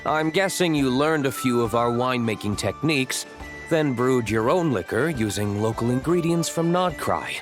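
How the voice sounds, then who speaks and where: A man's voice: medium pitch, expressive, normal speed, close to an everyday voice. A man playing a cool, shrewd, self-assured winery agent in a video game, speaking in a dry, unruffled way. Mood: calm, assessing. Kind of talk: video game dialogue acting. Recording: clear music behind.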